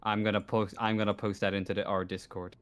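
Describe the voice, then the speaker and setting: Halting male tabletop game master. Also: lowish voice, flat delivery, clean tone. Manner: halting, repeats and corrects phrases. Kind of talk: improvised game narration and table chat.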